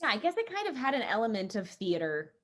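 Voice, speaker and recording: A woman's voice: fairly high pitch, wildly swooping pitch, fast. A woman, an actress, reflecting tentatively in a casual group discussion.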